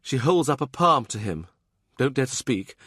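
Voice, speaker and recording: Casting: man playing a young noble widow and mother, higher-pitched, aggrieved yet wistful, for a historical novel audiobook. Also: animated, normal speed, a bit bright, breathy edge, no echo, clean studio sound. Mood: aggrieved, weary.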